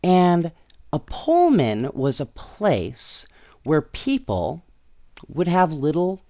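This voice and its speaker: A man reading children's stories aloud in an expressive, playful way, with casual asides to young listeners. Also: fairly high pitch, slow pace, no room sound, clean studio sound. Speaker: storyteller.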